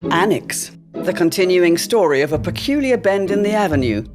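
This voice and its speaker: A narrator with a measured storytelling delivery and a mid-range voice. Faint music plays underneath. It is an audio drama introduction. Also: medium pitch, expressive.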